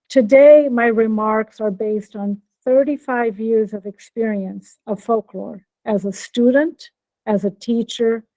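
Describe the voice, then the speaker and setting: A woman's voice: mid-range voice, dark tone, no echo, rough recording. A woman with an American accent, a professor giving a friendly keynote talk over a video call and checking in with her listeners. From born in Norway, raised in Brazil. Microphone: computer mic on video call.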